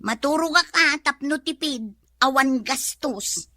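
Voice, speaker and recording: A thrifty, long-suffering housewife with a wry, complaining manner. Her voice is fairly high, sharp and close, with an Ilocano Filipino accent, in radio comedy style. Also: slow, dry recording, heavily acted.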